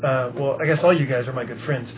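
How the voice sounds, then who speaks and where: A man co-hosting a podcast, rambling casually with many fillers and halting restarts. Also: medium pitch. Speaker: podcast co-host. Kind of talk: casual chat. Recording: lo-fi phone-like sound with faint hiss.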